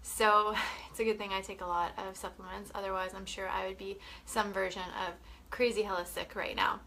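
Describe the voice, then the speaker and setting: A woman's voice: medium pitch, level tone, brisk, vocal fry, sharp tone, a little hiss or hum, rough recording. A young woman from Canada with a head cold, sounding sniffly, talking casually and chattily to camera. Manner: chatty, swearing, frequent "like" and "you know". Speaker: wellness vlogger.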